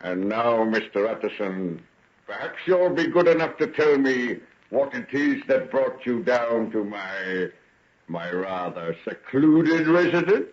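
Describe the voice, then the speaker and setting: An actor playing a sinister, mocking gentleman with elaborate politeness in an old radio drama. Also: medium pitch, lively intonation, lightly acted. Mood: mock courteous. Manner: taunting, theatrical, drawn-out polite phrasing. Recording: dull, noisy old radio recording with clicks.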